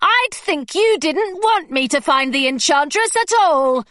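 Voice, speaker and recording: A defiant young heroine, as a woman voices her, high and bright with lively, sweeping intonation. She sounds spirited and fearless, and the recording is dry and close. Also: unhurried, no room sound. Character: bold fierce young girl adventurer.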